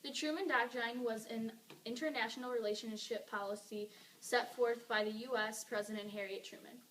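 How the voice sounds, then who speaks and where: A woman's voice: on the high side, fairly even intonation, normal speed, fairly bright tone. A young woman reading a scripted amateur newscast as the anchor. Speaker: newscast anchor in a history project. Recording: distant mic with faint noise.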